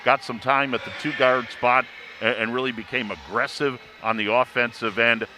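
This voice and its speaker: Male play-by-play announcer on a panel, mid-pitched, upbeat and smooth-flowing delivery, noticeable background noise. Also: steady tone, moderate pace. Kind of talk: sports analysis on a live broadcast.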